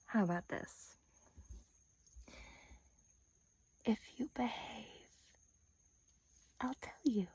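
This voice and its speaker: A woman playing a playful, menacing vampire captor in a soft-spoken ASMR roleplay, often dropping to a whisper and teasing with sadistic relish. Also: on the high side, husky, no room sound, full character voice. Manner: slow, teasing, with drawn-out pauses.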